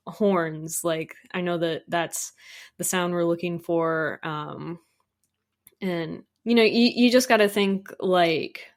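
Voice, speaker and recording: A woman with a fairly low voice talks casually as an interview guest. She is a composer, and her delivery is informal and enthusiastic. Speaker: guest, composer for audio dramas.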